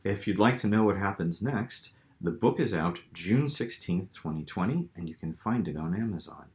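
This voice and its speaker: A man with a low voice narrates fiction as its author. His delivery is dramatic storytelling, and the room adds clear echo around him. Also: lively intonation, moderate pace, a little mellow. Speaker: author reading own novel. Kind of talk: dramatic audiobook-style narration. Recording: roomy, echoing room sound.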